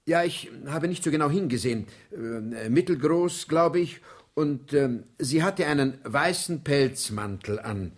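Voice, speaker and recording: An actor playing a nervous, evasive gentleman under police questioning, husky, polite and hesitant, in a 1970s German radio play. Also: on the high side, animated, measured pace. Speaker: actor in a radio crime drama.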